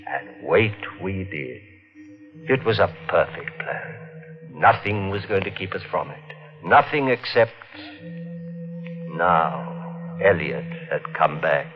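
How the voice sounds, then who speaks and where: A man's voice: low voice, animated, takes it slowly, pauses often, soft background music. An actor playing a cold, scheming husband who narrates his own crime, speaking in a hard, curt, sardonic way in a 1950s radio drama. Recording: dull vintage 1950s radio recording.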